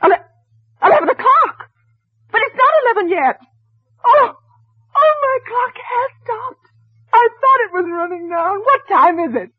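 Frantic, high-voiced invalid wife, vintage radio. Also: female voice, lively intonation, a little slow, long pauses, no room sound. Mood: indignant, panicking. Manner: imperious, breathless, stammering, melodramatic. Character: frantic, demanding invalid wife, alone and terrified. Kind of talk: 1940s radio suspense acting. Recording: vintage radio recording, thin telephone-like band.

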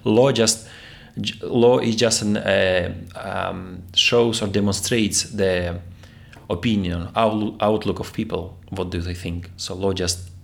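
A very low, gravelly male voice with a lot of fry. He is a young man from Ukraine who answers interview questions slowly, stopping and restarting often. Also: steady tone. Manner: hesitant, many fillers and restarts. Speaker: theology PhD student interviewee.

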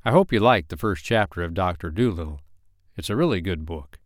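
A man with a lowish voice narrates a children's storybook to young listeners. His intonation rises and falls in a lively, sing-song way, with emphatic phrasing. The sound is dry and close. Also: a little fast, no echo. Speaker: storyteller, host of a bedtime reading series.

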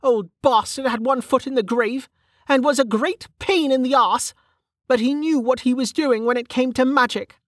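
A woman's voice: sits high, moderate pace, no echo, clean studio sound, pitched above the performer's own voice. A woman voicing a bitter, weary, hot-tempered and foul-mouthed woman in an audiobook, snappish and scornful. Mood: bitter, exasperated.

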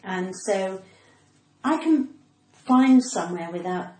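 A woman's voice: medium pitch, lively intonation, slow, darkish tone. A woman with a cut-glass English accent chatting casually and wryly as a comedian being interviewed. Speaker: stand-up comedian guest. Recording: echoey room with clicks and background noise.

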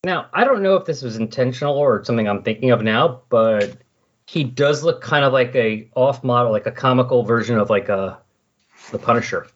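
Husky man in casual podcast talk. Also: mid-range voice, fairly quick. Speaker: podcast host. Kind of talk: casual comic-book recap discussion. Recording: dull, lo-fi voice recording.

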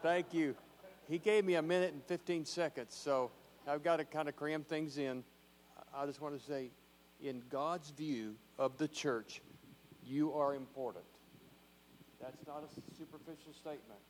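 A man's voice: a little high, steady tone, a little slow, a little hiss or hum. A man, a church elder giving a short, plainspoken, joking sermon into a handheld microphone. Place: church sanctuary. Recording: long reverb of a church hall.

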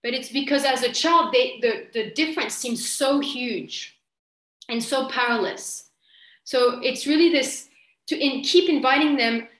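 Soft-spoken woman facilitator, echoey room. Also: sits high. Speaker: training facilitator. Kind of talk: guiding a group session.

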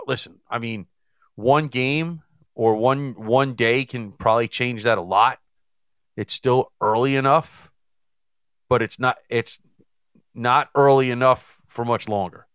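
Clear-voiced man reading off standings. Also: medium pitch, a bit bright, no room sound. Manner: halting, reads numbers with restarts. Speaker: co-host. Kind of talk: sports talk analysis.

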